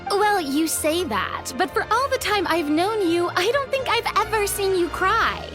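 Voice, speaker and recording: An actress playing a sweet, curious young woman in a video game, talking in a gentle, eager, chatty way. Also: very high-pitched, expressive, unhurried. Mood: gently teasing. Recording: music behind the voice.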